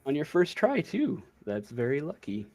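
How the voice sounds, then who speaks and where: A man's voice: on the high side, huge pitch swings, normal speed, a little mellow. A man voicing a creepy, shady circus ringmaster with a knowing manner in a tabletop game. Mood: sly, knowing.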